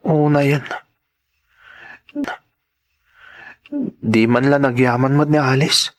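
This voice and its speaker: A man's voice: medium pitch, natural voice. A man playing an eager, bashful young farm lad in a radio-style drama, hesitant and protesting, with an Ilocano accent. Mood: sulky.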